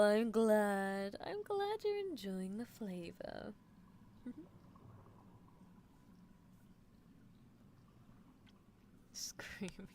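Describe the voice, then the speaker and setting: A woman's voice: a little high, a little mellow, a little hiss or hum. A woman voicing an eerie, playful mad-scientist horse girl in an ASMR roleplay, teasing and murmuring as she dotes on her test subject. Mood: coaxing, teasing. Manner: teasing, unhurried, murmured musing with hums.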